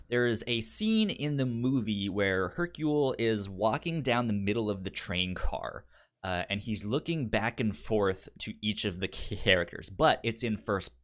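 A man co-hosting a casual film discussion, speaking haltingly and often stammering and repeating words. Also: fairly low pitch, fairly bright tone. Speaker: film podcast co-host.

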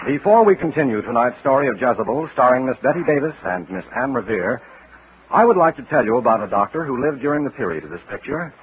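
Male vintage radio announcer, formal and measured, very husky, lively pitch, tinny old broadcast. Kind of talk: 1940s scripted radio announcing and sponsor message.

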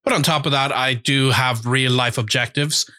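A man talks through a personal update in a bright, forceful voice. He is candid and reflective and pauses often. The sound is close and dry, with no room around it. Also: medium pitch, steady tone, no echo. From Ireland. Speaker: language-learning vlogger. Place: home.

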